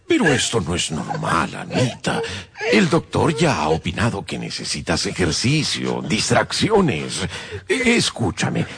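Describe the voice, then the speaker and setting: An actor playing a worried, doting husband, fussy and well-meaning, in a radio drama. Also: male voice, deep voice, huge pitch swings, unhurried, creaky, a crowd in the background, exaggerated voice. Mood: anxious tenderness.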